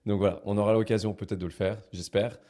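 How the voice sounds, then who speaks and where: A man's voice: lowish voice, level tone, quick pace, dark tone. A man hosting a podcast interview in casual chat, hesitant and often restarting his phrases. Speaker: podcast host.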